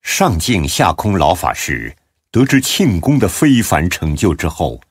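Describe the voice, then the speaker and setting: Deep, husky, slow male narrator. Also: no echo, studio quality. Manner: measured, expressive recitation. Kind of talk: solemn scripted narration of a Buddhist audiobook drama.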